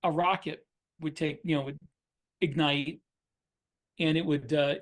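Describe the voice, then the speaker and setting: A man with a fairly high voice talks casually as a podcast host. He explains his craft in an informal, conversational style. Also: steady tone, unhurried. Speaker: podcast host and fiction writer. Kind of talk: casual shop talk.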